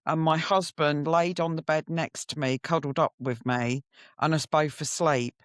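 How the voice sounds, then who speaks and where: A woman's voice: low-pitched, dry recording, studio quality. A middle-aged woman, telling her personal story candidly and reflectively as an interview guest.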